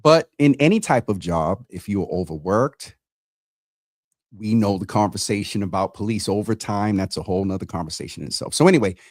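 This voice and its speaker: Man, talk show host, low pitch, dry sound, conversational, halting delivery, repeated words. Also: expressive, a little mellow, no echo.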